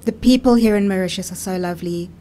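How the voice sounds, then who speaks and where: A woman's voice: medium pitch, quick pace, mellow. A middle-aged woman with a South African accent, speaking as an interview guest and telling her own story conversationally.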